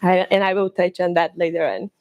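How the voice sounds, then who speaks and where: A woman's voice: on the low side, fairly even intonation, a little mellow. Brazilian woman giving a conference talk. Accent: Brazilian Portuguese. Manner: slightly halting, with restarts and fillers. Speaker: conference presenter. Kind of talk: conference presentation.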